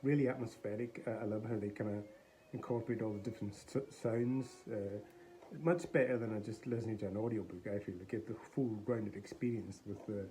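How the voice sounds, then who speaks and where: A man chatting casually to viewers in a rambling, halting way about something he bought. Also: fairly low pitch, steady tone. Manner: rambling, hesitant, halting delivery. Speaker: collector showing a charity shop buy. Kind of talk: casual vlog chat. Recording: faint background noise.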